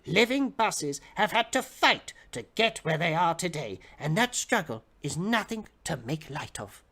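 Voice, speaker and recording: Bright-voiced man giving a dramatic reading. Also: fairly high pitch, very animated, a little slow, slightly rough. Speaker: reader of comic fiction on a livestream. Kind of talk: dramatic audiobook-style reading.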